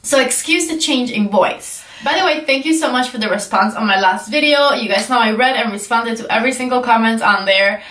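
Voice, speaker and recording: A woman's voice: on the high side, a little fast, few pauses, fairly bright tone. Young woman, congested stuffy voice, chatty makeup talk, lots of "like", roomy echo. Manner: chatty, enthusiastic, many "like" fillers. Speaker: beauty vlogger. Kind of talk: casual makeup review. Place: indoor room with shelves. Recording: roomy echo, voice slightly far from mic.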